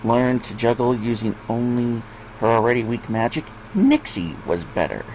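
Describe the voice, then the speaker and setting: A man's voice: mid-range voice, sing-song, moderate pace, darkish tone, slight background noise, rough recording. A man narrating fan fiction expressively, as a story reader.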